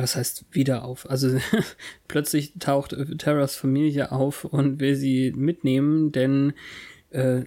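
Male co-host, slow and wry, chatty episode-recap podcast. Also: mid-range voice, warm tone. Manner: wry, drawn-out, laughs often. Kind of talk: casual TV-episode recap chat.

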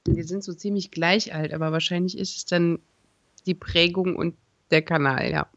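A woman's voice: fairly low pitch, fairly even intonation, moderate pace. Playful woman co-host, dull recording. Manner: playful, giggly. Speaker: podcast co-host. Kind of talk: casual TV-episode recap chat. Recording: dull lo-fi sound.

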